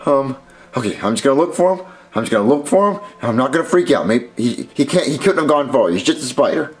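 A man's voice: mid-range voice, expressive, quick pace, slightly rough. A man voicing an anxious, naive little boy puppet in a scripted comedy, hesitant and stammering. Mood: nervous, worried. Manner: hesitant, stammering, repeats phrases when worried.

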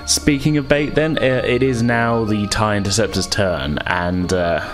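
Male game master, low conversational voice, music behind him. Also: measured pace. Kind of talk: running a tabletop role-playing game.

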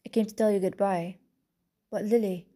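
A woman narrator voicing a remorseful young man who pleads quietly. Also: medium pitch, fairly even intonation, moderate pace, lightly acted. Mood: pleading.

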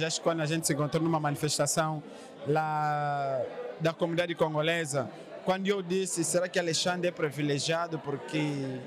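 Young male interviewer, slightly high voice, casual questioning, clear crowd chatter behind. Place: indoor hall at community event. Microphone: handheld interview microphone. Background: clear crowd murmur.

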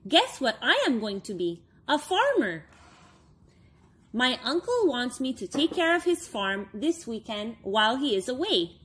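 A woman's voice: fairly high pitch, very animated, a little slow, fairly bright tone, breathy edge, full character voice. A woman voicing a lovable, overconfident male furry monster from a storybook, with cheerful, emphatic exclamations. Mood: proud, eager.

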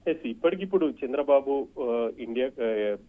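A man reporting as a news correspondent over the telephone, halting and hesitant in broken phrases. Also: mid-range voice, animated. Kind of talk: live unscripted phone report. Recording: muffled narrow-band phone line.

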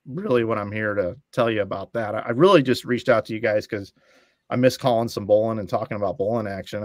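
A man's voice: fairly low pitch, no room sound, clean studio sound. A middle-aged man, a guest bowling commentator on a video call from home, telling long stories and acting out quoted dialogue as he goes. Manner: storytelling, acting out quoted dialogue, restarts phrases. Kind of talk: casual podcast chat. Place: room at home.

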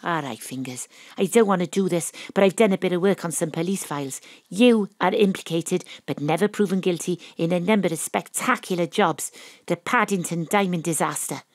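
A woman's voice: lowish voice, a little fast, no room sound, close to an everyday voice. A woman playing a determined, wry Welsh private detective, acting and narrating in a noir audio drama. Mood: cool, pressing.

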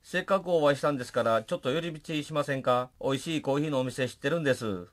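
A man's voice: mid-range voice, quick pace, close to an everyday voice. A man playing a courteous, solicitous funeral director in a comic radio drama. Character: courteous, solicitous male funeral company president.

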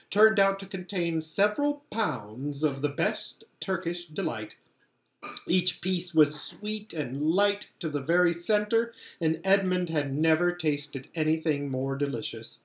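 A middle-aged man reading a storybook aloud in a measured, expressive way. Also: high-pitched. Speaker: pastor reading books aloud. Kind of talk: storybook read-aloud narration. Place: furnished living room indoors. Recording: roomy home webcam sound.

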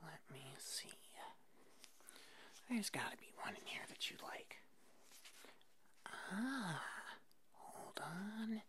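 A woman doing an ASMR roleplay as an easygoing puppet hairdresser, speaking in a soft, breathy whisper with short, reassuring phrases. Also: medium pitch, sing-song, drawn-out words, long pauses. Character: fuzzy monster puppet hairdresser, attentive and easygoing.

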